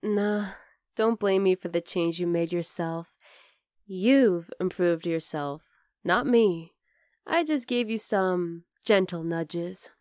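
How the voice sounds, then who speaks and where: A woman's voice: lowish voice, slow, a little mellow, no echo, studio quality. A woman playing a young wolf-girl girlfriend in an intimate ASMR roleplay, affectionate, teasing and coaxing. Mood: tender, sincere. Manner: cooing, playful, coaxing.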